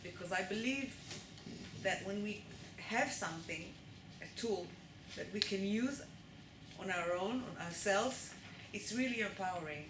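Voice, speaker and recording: A woman's voice: mid-range voice, unhurried. A woman with an Israeli accent giving a live lecture to an audience and often checking that they follow along. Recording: echoey room with noise.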